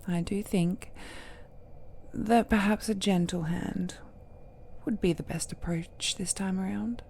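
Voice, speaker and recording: Slow, whispery ancient villain goddess, acted by a woman. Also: medium pitch, fairly even intonation, creaky, a little mellow, slight background noise. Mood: musing, condescending. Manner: slow, intimate, often drops to whispers. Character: ancient cursed deity, possessive, tender yet menacing villainess. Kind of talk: romantic villain audio roleplay monologue.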